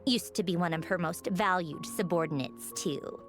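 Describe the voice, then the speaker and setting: A woman's voice: lowish voice, moderate pace, over music, lightly acted. An actress playing a breezy, teasing female engineer in authority, in a theatrical, self-assured video game performance. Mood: playful, admiring.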